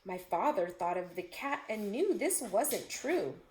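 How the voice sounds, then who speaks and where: A woman reading a children's story aloud with expressive, dramatic phrasing. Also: medium pitch, unhurried. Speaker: storybook reader. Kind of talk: children's read-aloud narration with brief asides about pictures. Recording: echoey room with faint noise.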